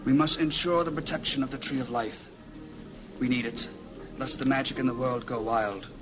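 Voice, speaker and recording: An actor playing a grave, commanding archmage in a high fantasy audio drama, speaking formally and solemnly. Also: male voice, steady tone, normal speed, natural voice. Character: grave male archmage leading a council of wizards. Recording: loud orchestral music behind.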